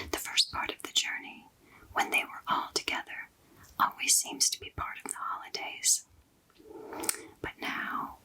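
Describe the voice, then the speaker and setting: A woman reading a children's novel aloud in a soft unvoiced ASMR whisper. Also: rough recording. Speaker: storyteller.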